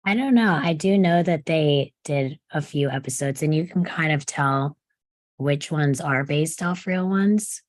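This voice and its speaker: A woman's voice: low-pitched, a little slow, dry recording. A woman talking casually in a group discussion, explaining things in a thoughtful way.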